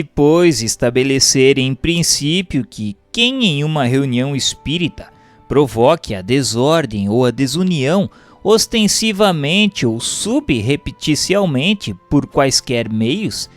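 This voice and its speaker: Slow, expressive Brazilian male narrator. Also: a little high. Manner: measured, formal, didactic. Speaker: audiobook narrator reading a Spiritist book. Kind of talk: scripted narration, formal book reading.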